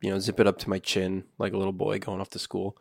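A man with a lowish, creaky voice chats as a podcast co-host while fighting the start of a cold. He grumbles in a wry, joking way. The sound is close and dry. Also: completely flat delivery, fast talker, no echo. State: coming down with a cold. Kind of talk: casual chat.

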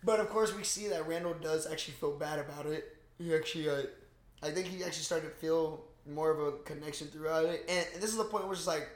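Podcast co-host, young man, slightly high pitch, loose profane chat, strong room echo. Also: fast talker. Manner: slangy, profane, rambling. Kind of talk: casual movie recap chat. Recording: roomy, echoing room sound.

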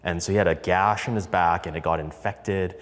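A man, telling a personal story in an interview with conversational, halting phrasing that often repeats words. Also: low voice, steady tone, long reverb tail. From Canada, raised in Calgary from infancy. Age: 30s. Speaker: podcast guest, business co-founder.

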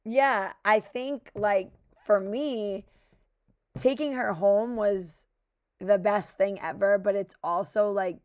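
Raspy young woman co-host; casual and chatty, recorded dry and close. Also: medium pitch, a little mellow, no echo. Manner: chatty, many "like" fillers. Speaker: podcast co-host. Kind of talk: casual chat.

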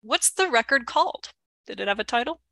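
A woman's voice: on the high side, animated, a little fast, creaky, a bit bright, no echo. A woman co-hosting a podcast in playful, lightly scripted banter. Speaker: podcast co-host.

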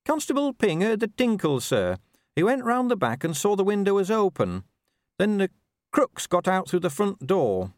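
A man voicing an earnest young female dwarf watch officer who reports in a brisk, eager way. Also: mid-range voice, big pitch swings, darkish tone, no room sound, studio quality, voice pushed up, close to an everyday voice.

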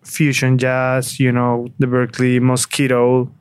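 A man's voice: mid-range voice, monotone, measured pace, clear, resonant tone, no room sound. A young man from Colombia, a sound designer and producer, talking in an easygoing, slightly hesitant way. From Bogotá, Colombia. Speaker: music producer, guest.

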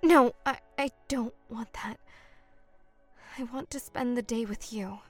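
An actress playing a gentle, guarded mermaid who passes as an ordinary young woman, hesitant and naive, in an audio drama. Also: a little high, unhurried, dry recording, soft background music. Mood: subdued, insistent. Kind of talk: naturalistic audio drama acting.

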